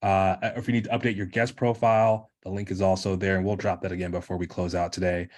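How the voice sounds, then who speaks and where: Low-voiced man making webinar announcements. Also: little pitch movement, fast, darkish tone. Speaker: webinar co-host. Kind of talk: reading testimonials and giving announcements. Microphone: video call.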